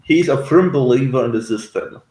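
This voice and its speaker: A man's voice: mid-range voice, normal speed, mellow, echoey room, low-quality recording. A man in a casual review discussion, speaking hesitantly and often searching for his words. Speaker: guest reviewer on a fan show.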